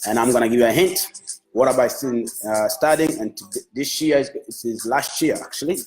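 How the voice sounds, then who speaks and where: A man's voice: mid-range voice, brisk, a little mellow, low-quality recording. A man whose first language is Swahili, a music manager talking on a Facebook live, enthusiastic and repetitive, restarting his phrases as he praises an artist. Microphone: phone.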